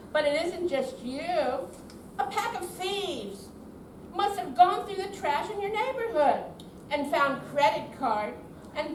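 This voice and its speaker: A woman playing a glib, reassuring con artist posing as a bank caller in an amateur stage reading. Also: sits high. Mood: officious. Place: indoor senior center performance room. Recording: distant echoey hall, faint noise.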